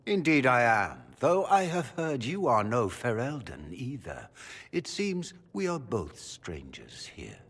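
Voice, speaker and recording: Casting: calculating sorcerer lord in a fantasy game; courtly, husky, widely swinging intonation, hall reverb. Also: male voice, medium pitch, huge pitch swings, slow, fairly bright tone, heavily acted. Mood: smooth, calculating. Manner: courtly, smooth-mannered, theatrical. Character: suave, calculating male magister, father of grown son.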